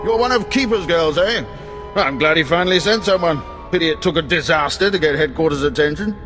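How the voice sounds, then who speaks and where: A man's voice: a little high, fairly quick, fairly bright tone, in a large hall, faint music behind, close to an everyday voice. A man playing a wry, chatty older male intelligence station chief in a video game, joking as he talks.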